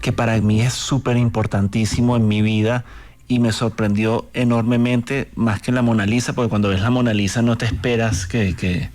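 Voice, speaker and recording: Earnest, halting male painter guest. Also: mid-range voice, little pitch movement, a little mellow. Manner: earnest, halting, repeats words. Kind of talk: radio interview.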